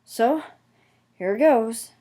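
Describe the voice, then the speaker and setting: A woman's voice: fairly high pitch, animated, extremely slow, mellow, full character voice. A woman voicing a plucky, earnest little steam engine in a children's storybook, shivering, gasping and exclaiming. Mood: anxious, shivering.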